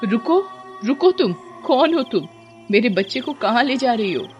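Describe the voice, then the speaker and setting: A woman voicing an anguished young mother turned ghost witch in an animated horror story, pleading urgently. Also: a little high, normal speed, highs cut off, soft background music, pitched above the performer's own voice. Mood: alarmed, desperate.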